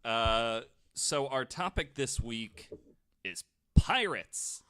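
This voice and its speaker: A man's voice: medium pitch, lively intonation, very slow, pushed and bright, smooth. A man hosting a podcast, telling history stories in casual, jokey chat. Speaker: podcast host.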